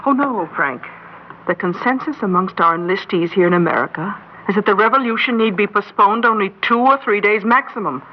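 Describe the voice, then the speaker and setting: A woman's voice: mid-range voice, expressive, a little fast, lightly acted. A woman playing a precocious schoolgirl in an old-time radio drama, putting on girlish innocence and a superior, precisely worded manner. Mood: commanding. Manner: switches between gushing girlish and precise, condescending diction. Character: precocious schoolgirl plotting revolution, feigning giddy innocence, coolly superior. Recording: muffled, hissy old-time radio recording.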